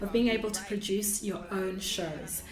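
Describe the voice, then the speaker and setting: A woman hosting a podcast, talking conversationally in an earnest, reflective and expressive way, both narrating and interviewing. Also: mid-range voice, normal speed. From Australia, lived in Perth before Melbourne. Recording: roomy, echoing sound.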